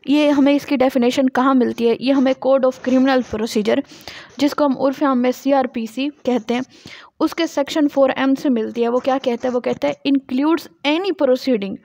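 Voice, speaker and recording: Pakistani lady lecturer, high voice, really dry sound, teachy, asks questions then answers them herself. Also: a little mellow, dry recording. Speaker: law lecturer. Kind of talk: lecture, reading out passages and explaining them.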